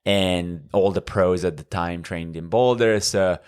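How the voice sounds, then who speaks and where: A man in his thirties from Northern Italy, speaking English with an Italian accent as a podcast guest, measured and full of fillers. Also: deep voice, clean tone. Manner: measured, with frequent fillers and restarts. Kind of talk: conversational interview.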